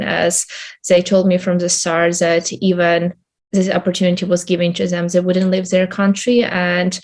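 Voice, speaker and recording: A young woman from Ukraine, answering interview questions in a halting way with many hesitations. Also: fairly low pitch, a little mellow. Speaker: guest.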